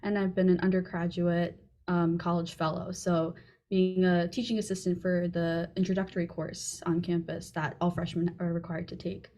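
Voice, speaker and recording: A woman's voice: fairly low pitch, level tone, normal speed, warm tone. A young woman, a student panelist, introducing herself in a friendly, chatty way on a video call. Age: young adult.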